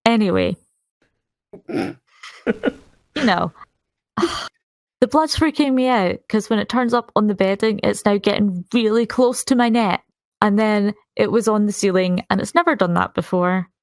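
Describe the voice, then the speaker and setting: A woman's voice: medium pitch, unhurried, pauses often, no echo, clean studio sound. A woman playing a tabletop roleplaying game, casually narrating and speaking for her character.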